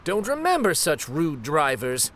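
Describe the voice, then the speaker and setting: Man playing gentle, slow-talking old storybook grandfather. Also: fairly high pitch, huge pitch swings, exaggerated voice. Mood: bewildered, grumbling. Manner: hesitant, musing, with little ums. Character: gentle, nostalgic old Japanese grandfather, retired street storyteller.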